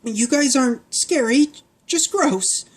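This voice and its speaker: A woman's voice: animated, slow pace, husky, exaggerated voice. A woman reading a storybook aloud, voicing a plucky young girl in a raised, eager voice. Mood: squeamish. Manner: high, coaxing, then yelling.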